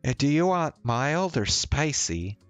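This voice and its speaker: Man voicing an upbeat young woman, lightly pitched up. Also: sing-song, slow, clear, resonant tone, no room sound, soft background music. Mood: excited. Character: upbeat, casual young woman keen on cooking.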